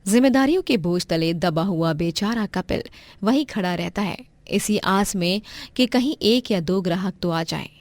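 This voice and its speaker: A woman's voice: fairly low pitch, no echo. A woman narrating a scripted moral story as a storyteller.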